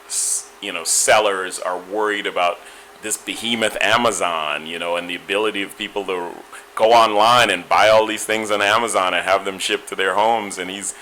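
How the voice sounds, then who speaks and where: Low, bright-voiced man with a Caribbean accent. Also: fairly even intonation, slight background noise, poor audio. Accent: Caribbean, Guyanese and Trinidadian. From Guyana. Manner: reflective, measured, with frequent restarts and "you know". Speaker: interview guest. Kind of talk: conversational interview answers.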